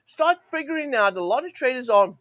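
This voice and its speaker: A man hosting a live trading show, speaking with a croaky, sore throat in a rambling way, full of fillers and restarts. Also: very high-pitched, expressive, a little fast, fairly bright tone, no echo, low-quality recording. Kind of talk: live-stream teaching monologue.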